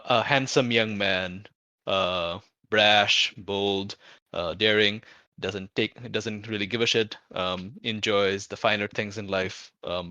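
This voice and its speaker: A man with a fairly deep, bright voice talks through tabletop game ideas as a player. He speaks informally and haltingly, working out his thoughts as he goes, in a dry, close sound. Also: no echo, dull audio. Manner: halting, thinking aloud, informal with swearing. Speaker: player in a tabletop roleplaying show. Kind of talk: casual out-of-character game discussion.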